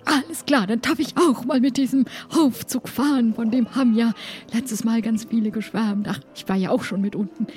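A woman's voice: on the high side, steady tone, moderate pace, darkish tone, soft background music, natural voice. A woman playing an eager, chatty crew mate in an improvised role-play.

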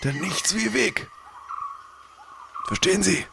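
A man's voice: high voice, animated, slow pace, creaky, pushed and bright. A man improvising in an audio play with a dry, punning manner. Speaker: player in an improvised adventure. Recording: faint background ambient noise.

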